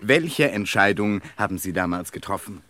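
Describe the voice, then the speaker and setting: A man's voice: lowish voice, lively intonation, moderate pace, bright, forceful tone, dry recording. An actor playing an intense, courteous young man who questions urgently, in a German radio drama. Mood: probing, urgent. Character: intense young man confronting his possible future selves.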